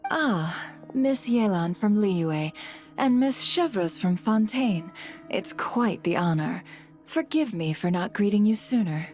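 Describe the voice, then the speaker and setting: An actress playing a poised, cryptic guild head in a video game, speaking formally and with measured courtesy. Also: female voice, mid-range voice, animated, darkish tone, faint music behind. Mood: courteous. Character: poised, cryptic woman heading a secretive trade guild. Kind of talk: video game character acting.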